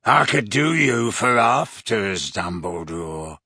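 A man voicing a savage werewolf with a rasping, barking voice, slow and leering. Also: fairly low pitch, very animated, bright, forceful tone, no echo, clean studio sound, exaggerated voice. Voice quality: rasping bark.

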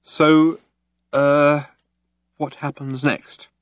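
A man's voice: on the high side, flat delivery, very slow, smooth, no room sound, voice pushed up. A man voicing a hapless, exasperated human traveller in a comic audiobook, querulous and rueful. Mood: glum.

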